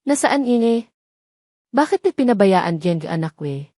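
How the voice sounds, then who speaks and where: A woman's voice: medium pitch, big pitch swings, a little slow, dark tone, no room sound, studio quality. A woman voicing an angry, worried father who demands answers urgently in an audio drama. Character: angry, anxious wealthy father, commanding and accusing. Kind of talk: Tagalog audio drama acting.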